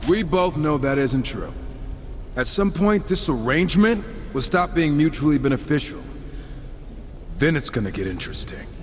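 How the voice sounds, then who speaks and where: A cocky male gunslinger horseman in a fantasy game. His sarcastic wisecracks come in a lightly raised, lively voice. Long reverb trails it, with faint music underneath. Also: on the high side, moderate pace, slight background noise. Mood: wary. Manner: sarcastic, flippant. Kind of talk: dramatic video game voice acting.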